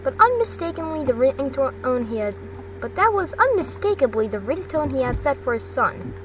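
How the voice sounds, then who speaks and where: A woman's voice: sits high, sing-song, normal speed. A woman reading a story aloud as an amateur narrator, stumbling and repeating phrases as she goes. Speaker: fan fiction narrator. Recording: distant mic, noisy background hum.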